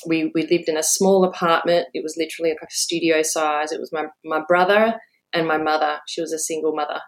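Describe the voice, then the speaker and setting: Woman in her forties, slightly low, chatty interview guest who laughs easily. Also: fairly even intonation, a little fast. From Australia. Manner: warm, chatty, laughs often, many fillers. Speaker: guest, business owner. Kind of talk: casual interview storytelling.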